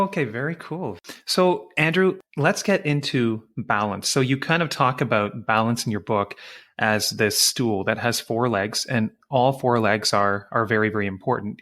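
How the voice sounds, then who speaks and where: A man's voice: medium pitch, a bit bright. A middle-aged man hosting an interview podcast over a video call, enthusiastic and admiring as he introduces and questions his guest. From Canada. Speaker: podcast host.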